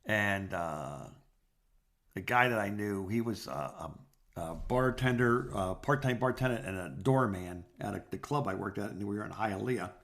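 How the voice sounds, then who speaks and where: A man in his sixties from South Florida with an American accent, co-hosting a podcast and rambling through personal anecdotes in a halting, circling way. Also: on the low side, a bit bright. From South Florida, United States. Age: 60s. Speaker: podcast co-host. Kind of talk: casual phone chat, rambling personal anecdotes.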